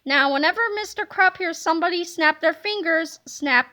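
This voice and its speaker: A woman reading a children's comic book aloud in a playful, animated way, slipping into silly voices. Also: extremely high pitch, moderate pace. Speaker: reader.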